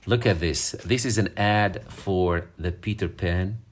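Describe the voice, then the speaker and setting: A man's voice: low-pitched, unhurried. A man from Morocco, talking casually and fondly as he leafs through an old magazine, rambling with fillers and restarts. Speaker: vlogger. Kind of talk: casual show-and-tell vlog.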